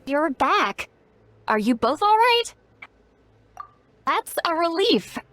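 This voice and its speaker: High-voiced, teasing game granny figure. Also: female voice, sing-song, slow, a bit bright, full character voice. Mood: concerned, thoughtful. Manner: playful, knowing, refers to self as granny. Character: spry self-styled granny, youthful-sounding, teasing, caring, shrewd. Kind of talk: scripted video game dialogue. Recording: game music behind. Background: game music.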